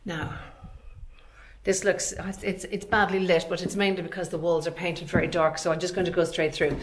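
A woman's voice: fairly low pitch, level tone, moderate pace. An Irish woman in her forties, an estate agent chattily explaining a property as she walks through it. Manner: chatty, explanatory, talking while walking. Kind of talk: informal property walkthrough narration. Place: inside empty commercial unit, large bare rooms.

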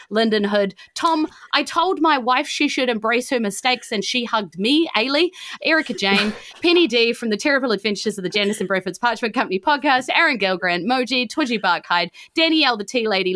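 A woman's voice: mid-range voice, bright, forceful tone, dry recording, studio quality. A woman with a New Zealand accent hosting a podcast, giving chatty thanks and announcements in a gushing, appreciative way. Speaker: host and game master of a D&D podcast.

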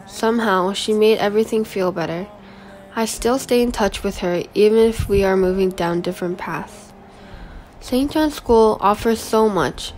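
A fifteen-year-old girl from Guam reading her autobiography aloud as a school presentation, in an earnest, steady voice. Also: medium pitch. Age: teenager, 15. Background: background music.

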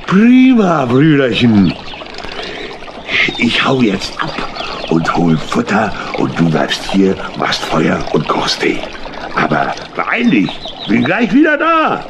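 An actor playing a jolly, boastful old tramp in a children's audio drama, hearty, folksy and full of exclamations. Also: male voice, medium pitch, wildly swooping pitch, takes it slowly, background noise, exaggerated voice. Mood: excited.

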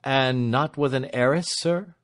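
A man's voice: medium pitch, unhurried, pitched above the performer's own voice, natural voice. A man voicing a shrewd, whimsical older lawyer with a dry, emphatic manner in a storybook reading. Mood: probing. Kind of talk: dramatised reading of classic fiction.

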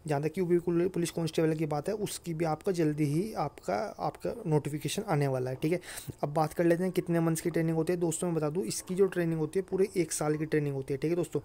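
A man's voice: fairly high pitch, fast, dark tone. A man giving an informal explainer to viewers as a channel host, often checking in with listeners. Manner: repeats check-in phrases to listeners. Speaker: host of an exam news channel.

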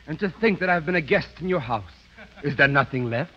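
Pompous freeloading artiste, highish swooping voice, theatrical indignation, dull 1930s radio recording. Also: male voice, animated, brisk. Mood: theatrically appalled. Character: melodramatic, pompous male parasite musician houseguest. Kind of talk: 1930s radio comedy acting.

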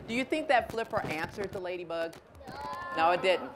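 A woman's voice: lowish voice, expressive, brisk. A middle-aged American woman reading a picture book to children, conversational, explaining and checking with her listeners. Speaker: storyteller. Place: outdoors in a garden. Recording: clear outdoor ambient noise.

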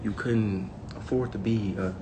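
A man's voice: fairly low pitch, fairly even intonation, measured pace, echoey room, a crowd in the background. A man in his twenties talking casually beside a friend on a livestream in a hotel room, boastful and teasing. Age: 20s. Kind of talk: casual chat.

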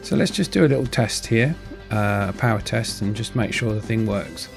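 A man's voice: fairly low pitch, expressive, moderate pace, vocal fry. A man casually narrating his hobby build in a modest, understated way. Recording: music behind the voice. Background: guitar music.

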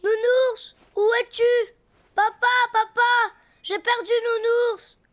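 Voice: extremely high pitch, little pitch movement, takes it slowly, fairly bright tone, a little hiss or hum, heavily acted. A performer voicing a playful, easily upset little boy donkey in a children's cartoon, childlike and sing-song. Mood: worried, calling.

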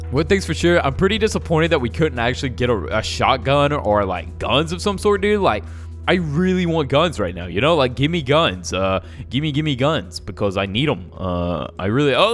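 A man's voice: medium pitch, fairly bright tone. A young man giving casual gameplay commentary in a slangy, jokey, rambling way. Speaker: gaming YouTuber.

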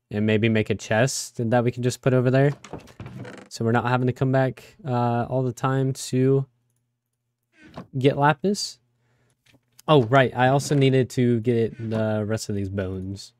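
A young man with a raspy voice from a cold, rambling casually with many fillers as he plays a game. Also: medium pitch, steady tone, a little slow, a little mellow. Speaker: let's play host. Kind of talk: casual gameplay commentary.

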